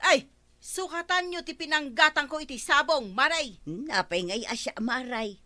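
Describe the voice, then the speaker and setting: High-pitched nagging Ilocano village woman. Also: normal speed, pushed and bright. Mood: indignant. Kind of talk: Ilocano radio comedy drama acting.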